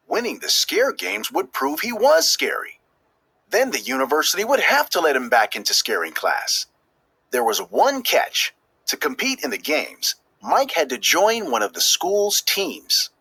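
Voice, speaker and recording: Guy doing a kids book read aloud, bright forceful voice, super expressive and emphatic, dry close recording. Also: high-pitched, unhurried, vocal fry, breathy edge, dry recording, rough recording. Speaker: storybook reader. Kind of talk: scripted children's read-aloud narration.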